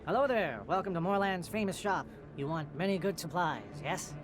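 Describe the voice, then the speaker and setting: An actor playing a sly, nervous alien shopkeeper with a high, sing-song voice. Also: male voice, animated, normal speed. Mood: wheedling. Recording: game audio with music.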